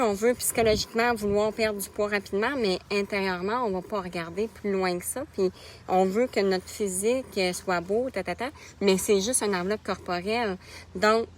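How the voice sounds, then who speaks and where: A Québécois woman in her thirties chats in a loose, conversational way, coaching on nutrition and breaking off to greet viewers by name. Her voice sits at a middle pitch. A faint hiss lies under the recording. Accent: Québécois French. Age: 30s. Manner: casual, chatty, greets viewers by name mid-sentence. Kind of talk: live stream chat with viewers.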